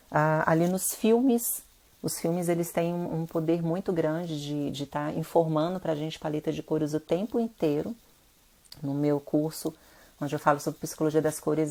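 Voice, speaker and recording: Low-voiced, stuffy-nosed Brazilian woman. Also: creaky, a little mellow. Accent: Brazilian Portuguese. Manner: chatty, addresses viewers directly. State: nasal from rhinitis. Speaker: interior designer hosting a live stream. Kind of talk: casual live-stream talk.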